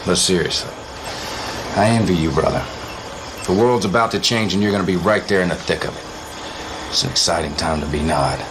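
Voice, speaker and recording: An actor playing a cocky, brotherly militant officer, giving a casual, slangy mission briefing in a video game. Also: male voice, deep voice, a little fast, pauses often, background noise, close to an everyday voice. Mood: joking, earnest.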